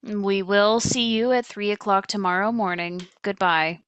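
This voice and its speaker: Slow, clear-voiced receptionist played by a woman. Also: medium pitch, fairly bright tone, highs cut off, natural voice. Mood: professional, deadpan. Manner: measured, courteous phone-desk delivery. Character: polite, dryly efficient female manor receptionist. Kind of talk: audio play acting.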